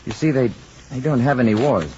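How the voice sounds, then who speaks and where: An actor as a polite male visitor from another world, measured and gently formal. He sounds quietly curious and composed. It is an old radio play, dull in tone with faint noise behind it. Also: medium pitch, animated, lightly acted. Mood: gentle, curious. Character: calm, courteous, curious visitor from another planet posing as human. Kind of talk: old-time radio drama acting.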